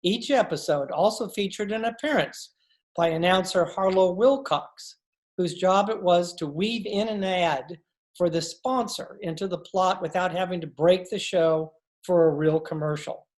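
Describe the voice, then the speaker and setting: A woman's voice: lowish voice, a little slow, darkish tone. A woman reading scripted narration as the announcer of an old-time radio show.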